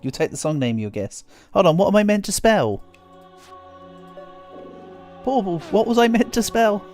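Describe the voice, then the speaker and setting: A man's voice: high-pitched, sing-song, moderate pace, darkish tone, soft background music. A man streaming a video game, chatting casually and rambling, sounding a bit congested with a cold coming on. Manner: chatty, rambling, self-deprecating. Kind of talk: casual game livestream chat.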